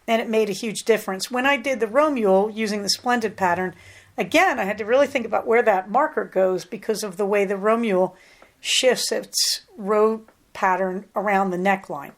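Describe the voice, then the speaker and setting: A middle-aged American woman hosting a podcast, talking in a chatty, rambling way with plenty of asides. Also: medium pitch. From United States. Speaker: podcast host. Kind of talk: casual solo podcast chat.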